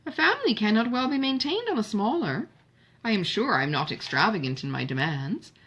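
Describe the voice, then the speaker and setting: A woman's voice: medium pitch, very animated, fairly quick, fairly bright tone, breathy edge. A woman voicing a passionate, idealistic young woman in a novel, speaking in an emphatic, formal manner. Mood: earnest.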